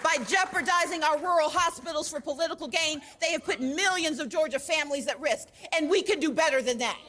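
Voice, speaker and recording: A woman giving a rousing campaign speech at a rally, forceful and shouted. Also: high-pitched, a little fast. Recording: archive clip of a rally with loud crowd noise. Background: loud cheering crowd.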